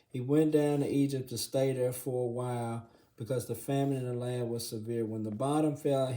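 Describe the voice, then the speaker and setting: A man hosting a casual Bible review video, his voice raspy and hoarse, often clearing his throat, and checking in with the viewer again and again. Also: medium pitch. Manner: relaxed, filler-heavy, says "okay?" often. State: hoarse from congestion. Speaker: channel host reviewing a Bible.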